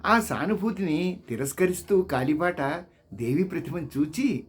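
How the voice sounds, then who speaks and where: Slow, higher-pitched male storyteller. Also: animated, slight background noise. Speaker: audiobook reader.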